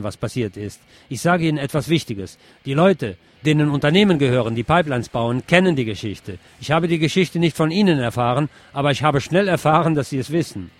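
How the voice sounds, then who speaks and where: A man narrating a scripted text, reading it aloud in a measured, report-like way. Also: mid-range voice, no room sound. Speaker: narrator reading a translated interview.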